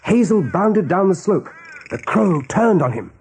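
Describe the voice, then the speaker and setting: Male narrator, dark husky tone, very expressive pitch, dramatic radio storytelling. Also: measured pace, a little hiss or hum.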